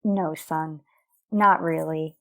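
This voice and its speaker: A woman playing a worried but composed mother and wife in an old-fashioned radio soap, with proper, deliberate phrasing. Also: sits low, fairly even intonation, fairly bright tone, heavily acted. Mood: gently coaxing.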